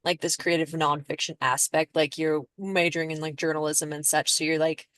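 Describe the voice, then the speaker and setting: Low-voiced young woman, dry close sound. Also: flat delivery, fairly quick, a bit bright, no echo. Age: young adult. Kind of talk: casual podcast discussion.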